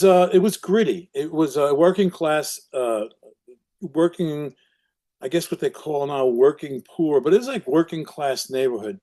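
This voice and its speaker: A man in his seventies with a New York accent who grew up speaking Italian first, telling his life story as an interview guest in a reflective, unhurried way with frequent restarts. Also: medium pitch, mellow. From Brooklyn, New York. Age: 70s.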